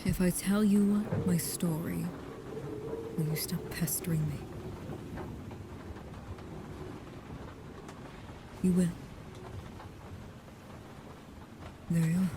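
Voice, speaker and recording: A woman playing a guarded, protective vampire with a painful past, speaking slowly, softly and hesitantly, often in whispers, in an intimate ASMR roleplay. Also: on the low side, fairly even intonation, noisy background, lightly acted. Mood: guarded, serious.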